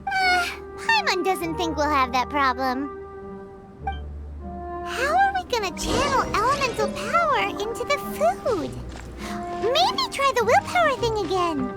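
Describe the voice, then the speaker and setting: A woman voicing a small, cheeky, excitable fairy companion in a video game, with a squeaky childlike voice and chirpy manner. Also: a little slow, heavily acted. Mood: curious, playful. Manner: speaks of self in third person, chirpy. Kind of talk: video game character acting. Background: game music.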